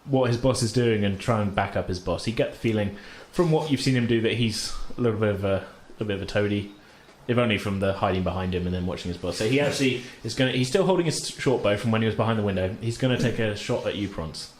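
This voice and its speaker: A man's voice: lowish voice, quick pace, hardly any pauses. Man game master in an echoey room. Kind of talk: casual tabletop roleplaying game narration. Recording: roomy echo with faint background noise.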